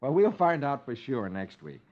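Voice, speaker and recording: A man's voice: medium pitch, very animated, normal speed. A man narrating comic-strip stories as host, with theatrical delivery in 1950s radio style. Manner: theatrical storytelling. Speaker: host reading comics aloud. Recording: muffled old radio recording, music behind. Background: music.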